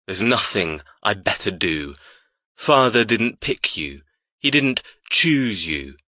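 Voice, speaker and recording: A man playing a cold, arrogant young heir in an audiobook, threatening and deliberate. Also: fairly low pitch, very animated, pushed and bright, no room sound, dull audio, full character voice. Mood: cold menace. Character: cold menacing heir, cruel and possessive brother. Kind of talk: dramatic audiobook character acting.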